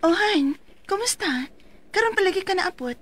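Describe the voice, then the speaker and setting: An actress with a Cebuano accent playing a caring, reassuring wife in a radio drama. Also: female voice, extremely high pitch, animated, moderate pace, music in the background. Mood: concerned. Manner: gently reassuring.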